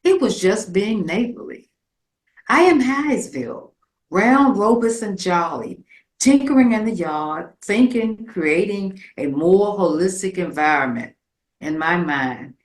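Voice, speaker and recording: A woman's voice: medium pitch, animated, measured pace. A woman from rural Virginia reciting a scripted poetic monologue in a theatrical, lyrical manner at a community performance. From rural Virginia, United States. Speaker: community performer reading own piece. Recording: roomy, echoing live space.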